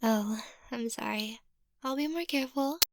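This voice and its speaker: A woman's voice: on the high side, normal speed, no room sound, close to an everyday voice. A woman playing a childish, bubbly young lady of the manor in an ASMR roleplay, with a playful, girlish manner. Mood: sheepish.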